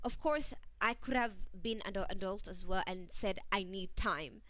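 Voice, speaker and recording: A woman's voice: mid-range voice, normal speed, sharp tone, slight background noise. A woman hosting a casual livestream, chatting away with plenty of "like" and "you know" and reading out viewer messages. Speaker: stream host.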